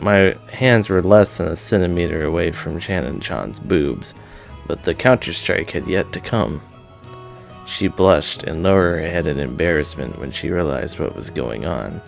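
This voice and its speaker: A man's voice: sits low, vocal fry. A man hosting a visual novel read-aloud, moving between informal chat and story narration.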